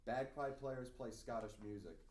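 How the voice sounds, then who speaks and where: A man reads out stage directions as the narrator of a script reading. His voice is medium in pitch, a little away from the mic in an echoey room. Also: normal speed, a little mellow. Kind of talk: reading screenplay stage directions at table read. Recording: echoey room, distant, faint noise.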